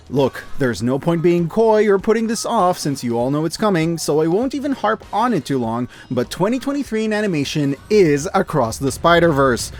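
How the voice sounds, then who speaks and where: A man in his thirties giving scripted, enthusiastic video-essay commentary as a host, in an emphatic, gushing, informal way. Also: a little high. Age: 30s. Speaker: host of a year-in-review media video. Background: music.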